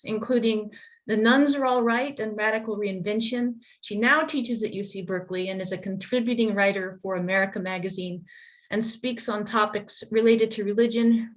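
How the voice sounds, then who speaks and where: A woman hosting a reading introduces the guest author on a video call, halting and full of restarts. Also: mid-range voice. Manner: warm but halting, with restarts and fillers. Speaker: event host.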